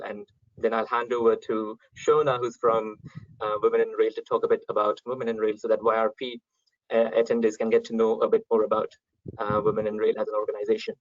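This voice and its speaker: A man's voice: on the low side, no echo. Guy hosting an online talk, polite and a bit hesitant, dry dull call sound. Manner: polite, organised, hesitant with fillers. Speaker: event host. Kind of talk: webinar hosting. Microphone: video call.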